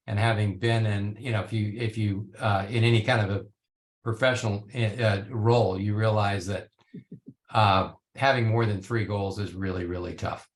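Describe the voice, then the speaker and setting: A man who grew up in Belgium and Italy, speaking as a panelist on a video call in a measured way with many fillers and restarts. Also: lowish voice, fairly bright tone. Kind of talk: virtual panel discussion.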